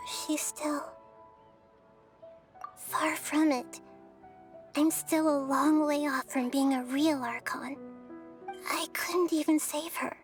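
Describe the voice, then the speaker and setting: High-voiced, gentle, wise child goddess. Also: female voice. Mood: wistful. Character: gentle wise childlike young goddess, thoughtful and self-doubting. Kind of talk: English video game dub acting.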